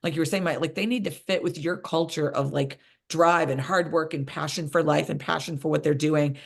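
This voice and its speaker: A woman's voice: deep voice, fairly even intonation, fairly quick, creaky, slightly rough. A woman hosting a conversational panel podcast, speaking as a coach and affirming what her guests say. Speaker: podcast host and business coach.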